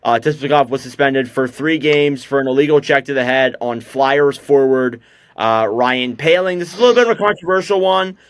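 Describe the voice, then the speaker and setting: A man's voice: mid-range voice, moderate pace, smooth, no echo. Young guy hosting a podcast, chatty, lots of ums, dry close mic. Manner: chatty, loose, lots of fillers. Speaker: podcast host. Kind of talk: casual hockey podcast chat.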